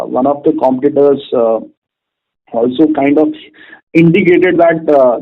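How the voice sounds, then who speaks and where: A man's voice: medium pitch, vocal fry. Hesitant male analyst over a phone line. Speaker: financial analyst asking questions. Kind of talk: business question-and-answer. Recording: narrow muffled phone conference line.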